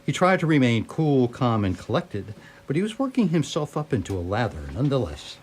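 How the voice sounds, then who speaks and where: A man's voice: medium pitch, big pitch swings, brisk, a little mellow, husky. A man narrating a radio play in a theatrical, wry storytelling manner.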